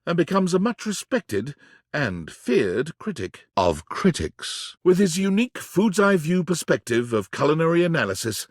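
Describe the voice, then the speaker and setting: A man narrating a whimsical game as a wry, florid storyteller, with measured, theatrical delivery that relishes every flourish. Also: a little high, very animated, dry recording, studio quality. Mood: wry, grandiose. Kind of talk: scripted narration.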